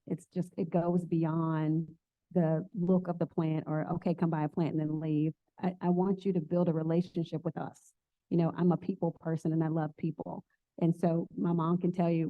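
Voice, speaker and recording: Woman, plant shop owner, earnest casual talk, lowish voice, dry phone-like sound. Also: dry recording. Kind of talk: casual talk with audience questions.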